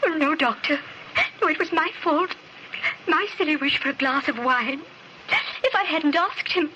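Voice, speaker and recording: A woman's voice: high-pitched, normal speed, lo-fi sound, close to an everyday voice. A woman playing a genteel young Edwardian wife in a melodramatic old radio play. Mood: grieving. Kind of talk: 1950s radio drama acting. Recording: noisy old radio transcription.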